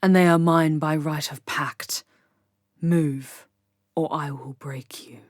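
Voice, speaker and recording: A woman playing Lilith, a low-voiced, proud demoness. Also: steady tone, dry recording, clean studio sound. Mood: menacing, haughty. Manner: commanding, haughty, deliberate, slipping into whispers. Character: Lilith, proud, sardonic, protective female demon guide. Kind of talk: ASMR audio roleplay drama.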